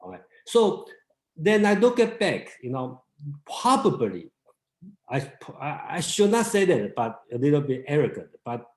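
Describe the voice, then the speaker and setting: Male group leader, Mandarin native, halting delivery, roomy echo. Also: a little high. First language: Mandarin Chinese. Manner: halting, many restarts and fillers. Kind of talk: casual seminar talk.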